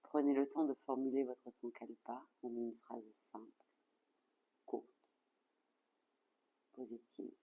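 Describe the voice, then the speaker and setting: A yoga nidra instructor leading a guided relaxation in a calm, soothing, measured way with soft phrase endings. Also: medium pitch, poor audio.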